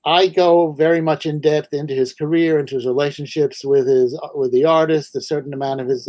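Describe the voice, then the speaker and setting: A man from Manhattan with a New York accent, a guest author talking over a video call in a thoughtful, halting way. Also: fairly high pitch, a little mellow, no echo. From New York City. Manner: thoughtful, hesitant, many restarts. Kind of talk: casual video call interview.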